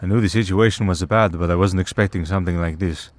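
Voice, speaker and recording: Man acting a deep-voiced, wry vampire priest. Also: fairly even intonation, fast talker, dry recording, natural voice. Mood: wry, planning. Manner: sardonic, bantering, conversational. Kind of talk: ASMR roleplay acting.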